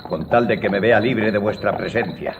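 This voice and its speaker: A man's voice: lowish voice, normal speed, noisy background, lightly acted. An actor playing a proud, defiant general held by his enemies, speaking scornfully in a radio drama. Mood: scornful defiance. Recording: dull sound, long reverb, music behind.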